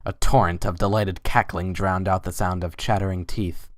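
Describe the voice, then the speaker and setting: A man's voice: deep voice, fairly even intonation, moderate pace, no room sound, clean studio sound. A man giving a dramatic, scripted reading of a story as its narrator.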